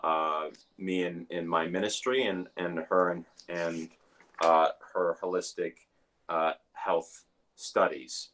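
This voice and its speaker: Deep-voiced minister guy, slow, halting, lots of uhs and ums on a video call. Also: level tone, a bit bright, slight background noise. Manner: halting, many filler sounds. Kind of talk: service announcements and guest introduction.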